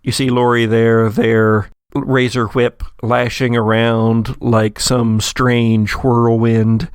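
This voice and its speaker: A man's voice: mid-range voice, level tone, takes it slowly, clear, resonant tone, no room sound, clean studio sound. A man narrating a tabletop horror game as game master, in a descriptive storytelling manner.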